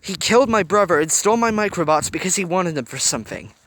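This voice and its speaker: A man voicing a hot-headed teenage boy inventor who swings from quiet calm to snarling rage. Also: mid-range voice, brisk, vocal fry, slight background noise. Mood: urgent. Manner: calm one moment, snarling in rage the next.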